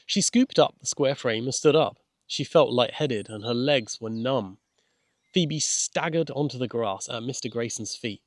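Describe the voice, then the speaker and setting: Male storyteller, medium pitch, gentle measured read of a children's novel, very little room sound. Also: no room sound, studio quality. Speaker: author and narrator. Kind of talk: scripted children's audiobook narration.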